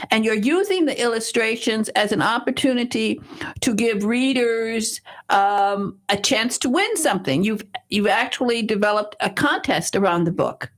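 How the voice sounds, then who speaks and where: A woman hosting a radio talk show, interviewing an author and guiding him with friendly questions. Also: on the high side. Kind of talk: interviewing a guest.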